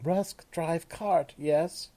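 A man voicing a simple male stranger who speaks in slow, broken phrases. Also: a little high, mellow, voice pushed up. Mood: timid. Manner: slow, halting.

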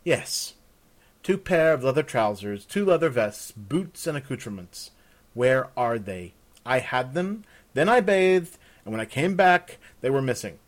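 A man's voice: medium pitch, very animated, moderate pace, voice pushed up. A man playing a blunt, headstrong woman of rank who talks informally and demandingly in a fantasy story. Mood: exasperated. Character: blunt, headstrong young noblewoman, easily exasperated. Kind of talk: fantasy audiobook character.

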